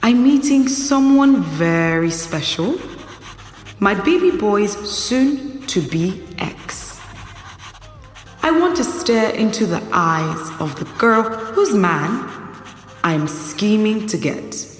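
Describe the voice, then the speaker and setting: In echoing reverb, a vain young schemer confiding in her diary. She drawls very slowly and smugly, with lots of swoops in a lowish voice. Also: animated, soft background music. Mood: smug scheming. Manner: smug, slow, self-admiring. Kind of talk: dramatic diary monologue in audio drama. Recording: echoey, reverberant room.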